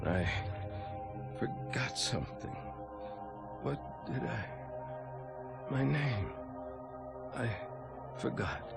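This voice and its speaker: An actor playing a captured military captain in a video game, tormented but defiant, speaking haltingly through pain as his memory slips. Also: medium pitch, very animated, gravelly, full character voice. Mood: dazed, confused. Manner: halting, anguished, repeating himself. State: in pain, straining. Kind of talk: dramatic video game acting. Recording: loud music over the voice.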